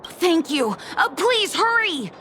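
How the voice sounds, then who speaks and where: Excitable game kid boy, very high voice, theatrical, self-important, slow-paced delivery. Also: a little hiss or hum, heavily acted. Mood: worried, urgent. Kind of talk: animated video game voice acting.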